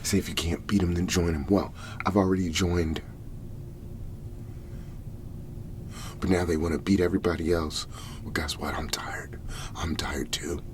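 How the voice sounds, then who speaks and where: A man playing a weary, desperate cleanup agent in an ASMR roleplay, pleading in halting, breathy whispers and murmurs. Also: low-pitched, noisy background. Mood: weary, troubled.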